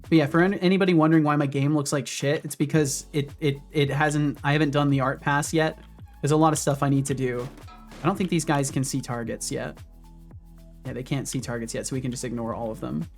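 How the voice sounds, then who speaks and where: Thirty-something guy on stream, chill chatter while coding, mid pitch. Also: fairly quick, faint music behind. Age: 30s. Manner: relaxed, talks to chat between coding asides. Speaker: streamer and game developer. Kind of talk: casual livestream chat while coding. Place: home office.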